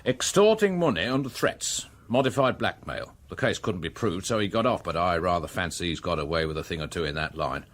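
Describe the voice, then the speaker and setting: An actor with an English accent playing a plain-spoken, methodical police superintendent in a radio drama. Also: male voice, low voice, lively intonation, fairly quick, hardly any pauses, a bit bright. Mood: reporting, businesslike. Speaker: actor in a detective radio play. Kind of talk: British radio drama acting.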